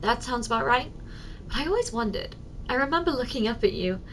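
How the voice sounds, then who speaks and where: Giant female secretary played by a woman, ASMR roleplay: higher-pitched, soft, tender and teasing toward her tiny boss, noisy ambient background. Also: expressive, a little fast. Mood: playful, curious. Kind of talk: ASMR roleplay, addressing the listener directly.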